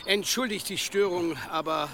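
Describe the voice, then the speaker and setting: Casting: placating village headman, high gravelly voice, clearly noisy scene. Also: moderate pace. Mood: courteous, serious. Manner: courteous, placating. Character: polite, conciliatory village headman. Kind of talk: German radio drama acting.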